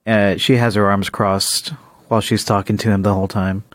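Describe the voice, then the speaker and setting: A man's voice: fairly low pitch, flat delivery, moderate pace, no echo. A man co-hosting a podcast, bantering and commenting in casual conversation. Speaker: podcast co-host.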